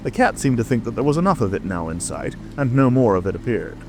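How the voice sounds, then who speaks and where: A man's voice: mid-range voice, sing-song, fast, gravelly. A man narrating a storybook as a dramatic, scripted audiobook reading. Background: music.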